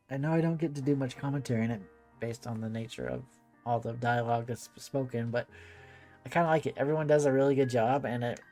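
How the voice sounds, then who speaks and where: A woman's voice: a bass voice, mellow. A woman giving casual let's play commentary as she streams a video game.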